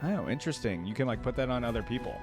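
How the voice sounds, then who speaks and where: A man's voice: mid-range voice, lively intonation, racing, over music. A man hosting a reaction video, commenting casually and thinking aloud, often checking with a tagged-on question.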